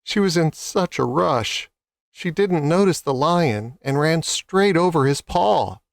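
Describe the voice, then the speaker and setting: A man's voice: fairly high pitch, lively intonation, slow pace, creaky, no echo, clean studio sound. A man reading scripted children's stories as a storyteller.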